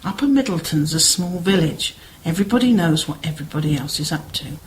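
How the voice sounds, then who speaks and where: A woman's voice: sits low, lively intonation, brisk, a little hiss or hum, low-quality recording. A woman acting a chatty, concerned village sister in an amateur radio play, gossipy and persuasive. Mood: confiding, worried.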